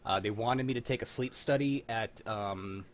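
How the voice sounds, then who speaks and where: A man's voice: on the low side, moderate pace. Guy hosting a stream, rambly and self-deprecating, video call audio. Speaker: livestream host. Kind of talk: casual group video chat.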